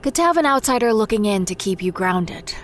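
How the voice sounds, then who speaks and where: A woman's voice: medium pitch, lively intonation, fairly quick, a little hiss or hum, lightly acted. A woman playing a wounded, fiercely determined and blunt fighter in a fiction podcast. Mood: firm, challenging. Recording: music underneath.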